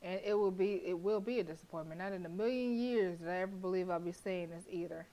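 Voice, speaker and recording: A young woman streaming a horror visual novel, reading the narration aloud and breaking into blunt, profane, animated reactions with frequent laughter. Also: fairly low pitch, quick pace, dull audio.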